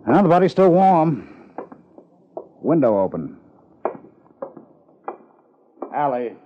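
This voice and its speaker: An actor playing a dry, cultured investigator in a 1950s radio drama, speaking in a terse, sardonic way. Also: male voice, a little high, very animated, unhurried. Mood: brisk, observant. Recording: muffled, noisy old radio recording.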